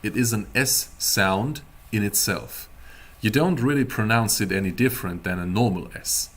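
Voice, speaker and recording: A man giving a casual live-streamed language lesson as a teacher, explaining word by word in a halting, deliberate way. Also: on the low side. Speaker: German teacher.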